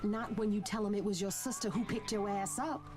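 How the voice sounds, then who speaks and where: A woman's voice: medium pitch, steady tone, fairly quick, music in the background, lightly acted. A woman playing a tough, protective young American federal agent in a video game, with streetwise delivery. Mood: urgent, protective. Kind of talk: video game cutscene acting.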